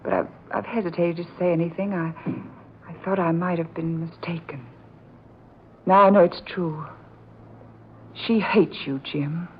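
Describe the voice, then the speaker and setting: An actress playing a frightened, distressed young fiancée in an old-time radio drama, speaking hesitantly and urgently. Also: female voice, on the low side, fairly even intonation, a little slow, pauses often, close to an everyday voice. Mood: anxious, insistent. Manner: hesitant, urgent, earnest delivery with repeated words. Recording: dull, noisy old radio recording.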